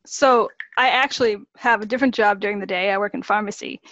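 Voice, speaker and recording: A woman in her thirties from Massachusetts, a guest singer-songwriter, explains her work in a measured, steady way. Her audio is dry and a little dull. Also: medium pitch, dry recording. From Massachusetts, USA. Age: 30s. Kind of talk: interview answers.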